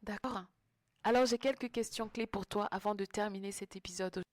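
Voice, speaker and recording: A woman's voice: mid-range voice, steady tone, moderate pace. A woman hosting a motivational coaching podcast, speaking emphatically and often checking in with the listener. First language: French-English bilingual.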